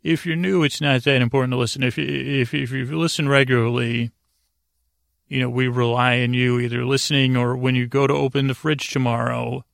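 A man hosting a sleep podcast in a low-key, meandering monologue, halting often and drifting off into digressions. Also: level tone, creaky, fairly bright tone, dry recording, clean studio sound. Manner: meandering, halting, frequently digressing and losing his thread; low-key, soothing, deliberately unengaging. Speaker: podcast host.

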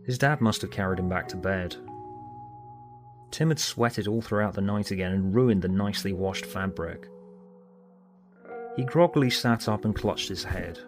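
A man's voice: lowish voice, normal speed, pauses often, soft background music. A man reading scripted story narration as an audiobook narrator. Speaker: author and narrator.

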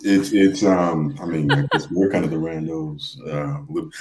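A man with a low voice chats as a guest on a podcast. He speaks haltingly, hedging and doubling back on his words. The recording sounds echoey and roomy. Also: steady tone, a loud crowd. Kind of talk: casual chat.

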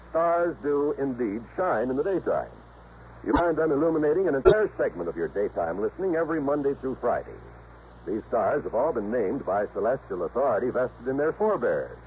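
American man, old-time network announcer, mid-pitched, formal scripted delivery, grandiose, faintly noisy recording. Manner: grandiose, formal, measured phrasing. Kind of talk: mid-century scripted radio promo announcing. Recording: muffled old radio broadcast, faint noise.

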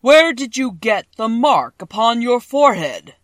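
Woman voicing a booming stern wizard head. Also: sing-song, no room sound, clean studio sound. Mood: commanding. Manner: loud, slow and commanding.

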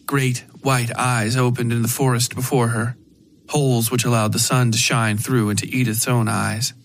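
A man narrating a scripted dark fiction story for a podcast. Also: mid-range voice, level tone, normal speed, slight background noise.

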